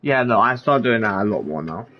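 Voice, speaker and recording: A man's voice: on the low side, animated, a little fast, sharp tone. A man chatting casually while streaming a game, rambling with lots of fillers. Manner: rambling, filler-heavy speech with frequent "like". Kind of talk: casual gameplay chat.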